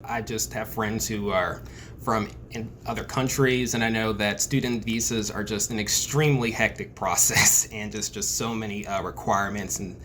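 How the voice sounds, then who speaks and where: A man's voice: on the low side, fairly even intonation, normal speed, a bit bright. A man hosting an interview, asking earnest questions and leaning on the word "just". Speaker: host. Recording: faint background noise.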